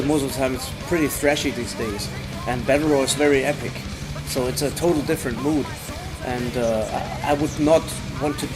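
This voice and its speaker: A man with a German accent, a band's singer, talking in a casual interview with many fillers and restarts. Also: medium pitch. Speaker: band singer.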